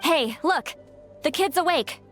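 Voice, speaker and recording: High, bubbly, boisterous girl. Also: lively intonation, takes it slowly. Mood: cheery. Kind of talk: anime-style video game voice acting. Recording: music under the voice.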